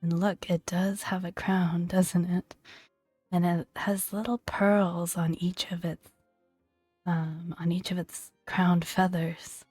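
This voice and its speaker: Soft breathy woman doing asmr narration, really slow n gentle, faint music behind. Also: lowish voice, no echo. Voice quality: breathy, hushed, near-whisper. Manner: gentle, unhurried, soothing. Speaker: gaming ASMR video creator. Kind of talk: soft-spoken ASMR narration, reading and casual commentary.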